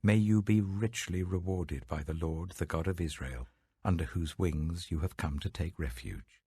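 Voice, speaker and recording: A dignified older landowner played by a British man speaks with gentle authority in a scripture audiobook. The voice is very low and heavily husky, protective and generous, recorded dry and close. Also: normal speed, no room sound. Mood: blessing.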